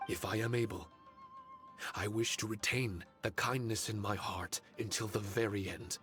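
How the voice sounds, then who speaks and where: A man playing a solemn, gentle spirit familiar in an anime-style video game, speaking in a measured, formal and sincere way. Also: lowish voice.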